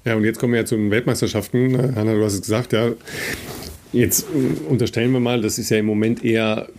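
A man hosting a podcast interview, talking in a halting way with many fillers and tag questions like "ja?" and "ne?". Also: fairly low pitch, steady tone, a little mellow, a little hiss or hum. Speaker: podcast host.

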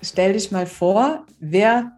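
A woman hosts her own podcast about founding a business and interviews a guest. Her pitch sits in the middle range, and her speech is halting and full of fillers. Quiet music plays in the background. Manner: hesitant, many fillers. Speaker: podcast host.

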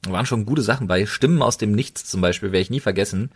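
A man with a fairly low voice and a German accent co-hosts a casual chat podcast. He talks in loose, colloquial language and leans on repeated intensifiers to stress his enthusiasm. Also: fast, breathy edge. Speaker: podcast co-host.

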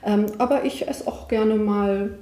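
A woman's voice: mid-range voice, fairly even intonation, measured pace, dark tone, roomy sound. A woman, a nutrition scientist guest, chatting casually in a podcast interview, often tagging her sentences with "ne?" and fillers. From Germany, of Sicilian parents. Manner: chatty, frequent "ne?" tags and fillers.

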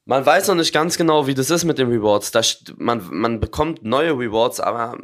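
Co-host, a man of average pitch, excited and rambling about gameplay. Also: normal speed. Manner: enthusiastic gamer talk, fillers and restarts. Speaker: podcast co-host. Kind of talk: casual podcast chat.

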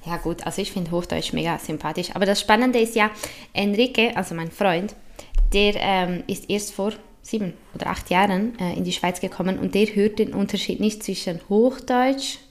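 A woman's voice: mid-range voice, normal speed. Woman, Swiss German accent, talkative podcast guest who laughs easily and hesitates with fillers. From Switzerland. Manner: chatty, laughs often, many fillers. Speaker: guest, influencer. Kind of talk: casual interview chat.

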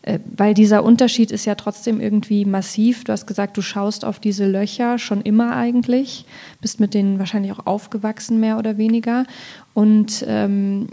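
Mellow, creaky-voiced woman in reflective conversation. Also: medium pitch, flat delivery. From Thuringia, Germany. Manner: thoughtful, halting, searching for words. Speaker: poet in a literary conversation. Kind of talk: reflective literary discussion.

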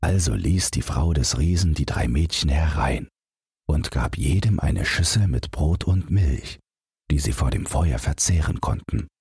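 An audiobook man tells a bedtime fairy tale. His voice is extremely low and very husky, and he takes his time in a close, dry sound. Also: animated, a little slow, a little mellow, no echo. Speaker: audiobook narrator.

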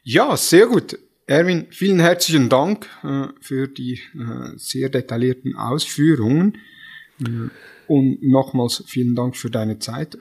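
A man's voice: mid-range voice, measured pace, gravelly, a little mellow, husky. A Swiss man with a Swiss German accent hosting a podcast, speaking in a friendly way with frequent fillers. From Switzerland. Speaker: podcast host. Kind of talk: podcast host wrap-up.

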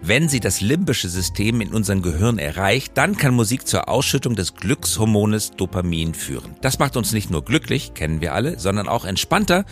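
A man's voice: fairly low pitch, sing-song, moderate pace, hardly any pauses, soft background music. A man hosting a podcast in a conversational style, with a wry, playful manner. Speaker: podcast host.

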